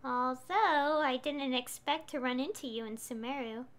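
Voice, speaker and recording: A woman's voice: high-pitched, normal speed. A woman streaming a game, casually reading her character's lines aloud with fillers.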